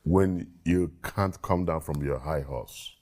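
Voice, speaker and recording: A man's voice: extremely low pitch, takes it slowly, darkish tone. A man talking in a casual interview, earnest, often repeating words as he thinks. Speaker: guest.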